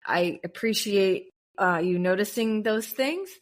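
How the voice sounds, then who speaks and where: A woman in her thirties from the United States, a podcast guest chatting casually and telling lively stories. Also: unhurried. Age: 30s. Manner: animated storyteller, bursts into high-pitched acted quotes and laughs. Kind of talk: casual interview chat.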